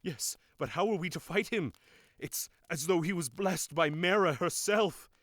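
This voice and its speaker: Man playing a fearful, fairly high-voiced minion. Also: measured pace, fairly bright tone, no room sound, clean studio sound. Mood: fearful awe. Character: fearful necromancer minion, awed by the enemy.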